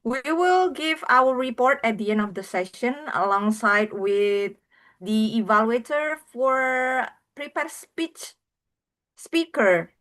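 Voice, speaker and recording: A woman's voice: medium pitch, fairly bright tone. A woman whose first language is Indonesian, speaking as general evaluator and politely introducing the team over a video call. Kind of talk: formal meeting hosting.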